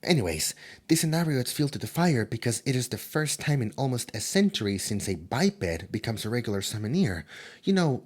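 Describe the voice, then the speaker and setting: A man voicing a nervous, gossipy male demon in an ASMR audio drama, talking in hushed tones and stammering often. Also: fairly low pitch, husky.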